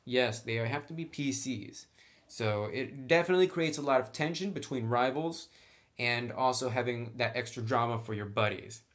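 Male tutorial host, mid-pitched, casual walkthrough delivery, thinks aloud, informal. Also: moderate pace, a bit bright. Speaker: host of a tabletop roleplaying tutorial channel.

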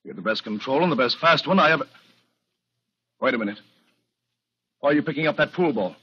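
An actor playing a loyal, excitable young man who exclaims his way through a 1940s radio drama. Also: mid-range voice, fast talker, breathy edge. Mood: eager. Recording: dull vintage 1940s radio recording.

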